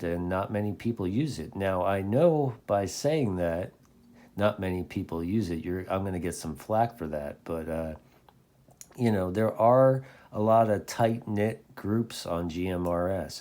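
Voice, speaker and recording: Male hobbyist presenter, low and very mellow, informal recommendation style with halting, repetitive phrasing. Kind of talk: casual product recommendation talk.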